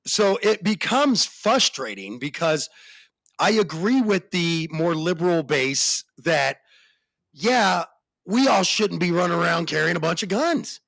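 An American man hosting a casual podcast chat, animated and slangy, with a deep voice that jumps in pitch for emphasis. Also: a little slow, creaky, dry recording, poor audio. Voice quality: deep bassy voice. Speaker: podcast host.

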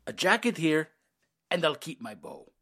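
A husky, very animated voice, a performer's, playing a scrappy young survivor in a zombie audio drama. The delivery is wry and blunt, leaping in pitch. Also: normal speed. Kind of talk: amateur audio drama acting.

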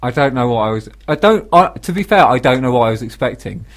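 Animated British man telling comic anecdotes. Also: medium pitch, quick pace. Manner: self-deprecating, animated storytelling. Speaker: radio show co-host. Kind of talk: casual comic chat, anecdote.

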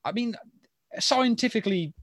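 A man's voice: very high voice, expressive, normal speed, fairly bright tone, dry recording. Chatty, rambling male podcast co-host, dry sound. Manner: chatty, rambling, thinking aloud. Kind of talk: casual chat about a sitcom.

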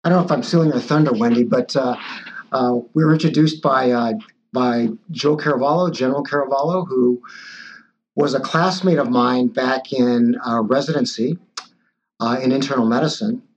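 A man's voice: mid-range voice, normal speed. A man in his sixties, a physician guest, answering interview questions in a hesitant way with many fillers and restarts. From born in Taiwan, raised in Los Angeles. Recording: dull lo-fi audio.

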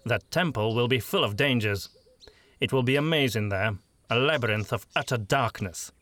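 A man's voice: mid-range voice, normal speed, fairly bright tone, close to an everyday voice. A man voicing a domineering male expedition leader who proclaims warnings. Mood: ominous. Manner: proclaiming, commanding.

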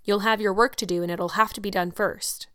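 A woman voicing a stern, practical older woman who speaks in a brisk, dry, scolding way. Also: medium pitch, steady tone, fairly bright tone, smooth, dry recording, close to an everyday voice.

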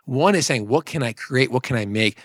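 A man's voice: mid-range voice, fairly quick, fairly bright tone, no echo. Emphatic man explaining, dry close sound. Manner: emphatic, explanatory, restates points. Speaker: co-host of a book discussion series.

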